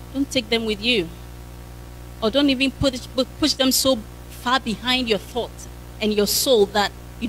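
A woman's voice: fairly high pitch, slight background noise. Woman preaching in a church hall. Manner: fervent preaching with frequent hallelujahs. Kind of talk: sermon. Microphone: handheld mic.

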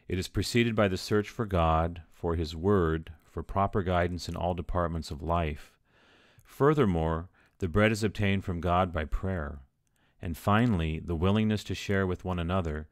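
Low-voiced priest teaching from slides. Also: male voice, no room sound, clean studio sound. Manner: reads passages then explains in plain words, with fillers. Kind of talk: teaching from slides, reading aloud and commenting.

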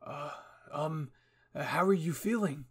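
A man voicing a hesitant, puzzled young male scout in a story reading, stammering and halting. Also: on the high side, slightly rough.

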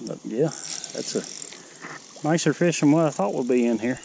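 A man's voice: a little slow, gravelly, background noise. A man talking casually while he fishes, standing in a shallow river with a head-mounted action camera. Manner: low-key, talks to himself while reeling in fish. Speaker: angler filming his catch. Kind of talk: casual fishing commentary.

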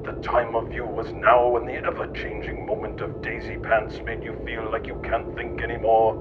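A man's voice: monotone, normal speed. A man reading scripted comedic mock history as a narrator, in a theatrical, mock-pompous manner. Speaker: narrator and writer. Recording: muffled with clear rumbling noise.

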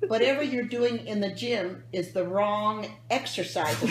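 A woman's voice: medium pitch, measured pace, background noise. A woman in her mid-nineties chatting at home, blunt and feisty, swearing freely. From United States. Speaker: grandmother filmed for family social clips. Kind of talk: casual home chat. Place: living room, indoors. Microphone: phone camera a few feet away. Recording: roomy, echoing home phone video.

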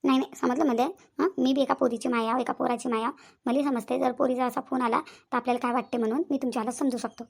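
A woman acts out a practical middle-aged village matriarch in a comedy cartoon. Her high voice runs quickly through long, repetitive reassurances in a Varhadi Marathi accent, always trying to keep the family peace. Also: steady tone, creaky, darkish tone. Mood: calming, insistent. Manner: talkative, reassuring, repetitive persuasion.

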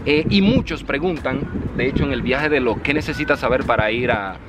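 A man's voice: medium pitch, a little fast. A man in his thirties from the Dominican Republic with a Cibao accent, chatting casually with viewers while answering comments outdoors in a park. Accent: Dominican, Cibao region. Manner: lively and chatty, often addresses viewers as "señores". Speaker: vlogger, channel host. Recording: outdoor noise behind voice.

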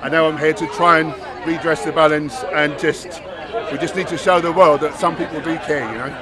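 Fast-talking man with a higher voice. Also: steady tone. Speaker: vegan fitness advocate. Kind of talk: casual piece to camera. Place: outdoors in a park at a march. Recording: outdoor recording with crowd noise.

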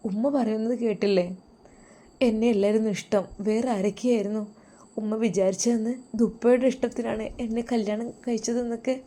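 A woman voicing a hurt young wife who speaks quietly, on the edge of tears. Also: mid-range voice, breathy edge, natural voice. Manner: quiet, fragile and close to tears.

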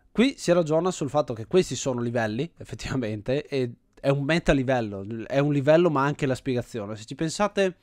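Male gaming host, average-pitched voice, enthusiastic thinking-aloud commentary, close dry recording. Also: no echo. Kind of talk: casual let's play commentary.

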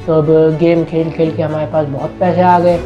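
A broke, out-of-work husband tells his story in a spoof ad testimonial, as a young man puts him on. He speaks loudly and earnestly, with a boastful edge, in a small indoor room. Loud music plays underneath. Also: a little high, level tone, moderate pace, highs cut off, pitched below the performer's own voice, close to an everyday voice. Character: poor unemployed middle-aged husband, boastful.

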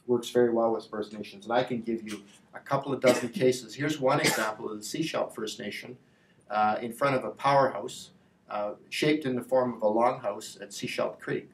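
A middle-aged man gives a public presentation at a panel, speaking in a measured, halting way with emphatic repeats. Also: mid-range voice. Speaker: industry association representative, panel speaker. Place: indoor lecture room with slide screen. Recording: echoey room, distant mic, faint noise.

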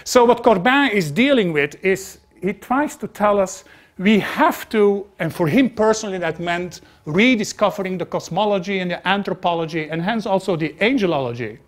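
A man's voice: sits high, expressive, normal speed. A middle-aged man from Belgium with a Belgian accent, not a native English speaker, giving a reflective spiritual lecture to an audience in a small meeting room. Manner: reflective, emphatic, gesturing broadly.

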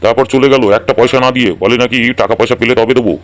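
Casting: male actor for a blunt, money-minded cartoon doctor, rapid delivery, mid-pitched, colloquial and scolding. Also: steady tone, close to an everyday voice. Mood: annoyed, scheming. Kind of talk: comic Bengali cartoon dialogue.

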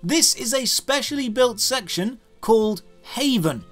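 A man's voice: extremely high pitch, lively intonation, a little slow, a bit bright. A man narrating a scripted comedic video game review with sarcastic, exasperated emphasis. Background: background music.

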